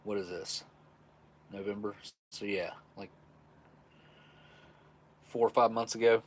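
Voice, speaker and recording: A man's voice: on the low side, fairly even intonation, slow. A man in his forties hosting a live stream, chatting casually with viewers in a rambling, chuckling, easygoing way. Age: 40s. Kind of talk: casual live chat reading viewer messages.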